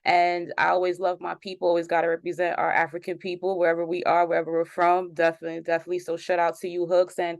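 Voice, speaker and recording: A woman's voice: on the low side, monotone, quick pace, vocal fry, few pauses, no echo, studio quality. Upbeat woman hosting an interview. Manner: upbeat, repeats words, many "you know" fillers. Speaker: interview host.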